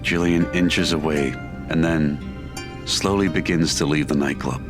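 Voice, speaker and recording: A male narrator delivers scripted, dramatic narration for an audio drama. His voice is deep, and music plays clearly behind it. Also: fairly even intonation, measured pace.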